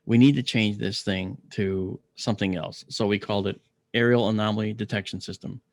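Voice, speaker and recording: A middle-aged man with a deep, gravelly voice from a paralyzed vocal cord, telling a story in an interview, clearing his throat often and using many fillers. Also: fairly even intonation, a little fast, dry recording. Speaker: interview guest, astronomer.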